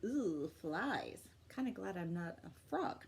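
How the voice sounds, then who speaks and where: A woman hosting a children's storytime, reading aloud with expressive, encouraging, exaggerated delivery. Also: fairly low pitch, slow pace, vocal fry, slightly rough. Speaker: library storytime host. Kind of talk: animated read-aloud for young children.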